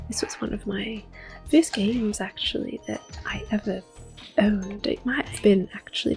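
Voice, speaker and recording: A woman in her thirties giving soft-spoken, whispered ASMR commentary while playing a video game, gentle and unhurried. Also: medium pitch, creaky. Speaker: ASMR gaming host. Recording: soft game music in background.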